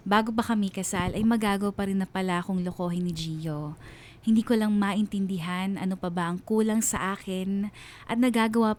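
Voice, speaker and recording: A woman's voice: mid-range voice, a little mellow, a little hiss or hum, close to an everyday voice. An actress playing a heartbroken wife who narrates her letter for a radio drama. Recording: music under the voice.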